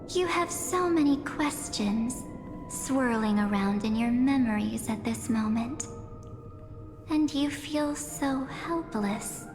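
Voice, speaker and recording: An actress playing a mysterious, composed young woman in a video game, speaking in a measured, softly teasing, theatrical way. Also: on the high side. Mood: teasing, intimate. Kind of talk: scripted video game dialogue. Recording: reverberant game audio with music.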